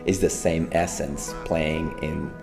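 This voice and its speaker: Man, extremely low gravelly voice, calm philosophical interviewee. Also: takes it slowly, over music.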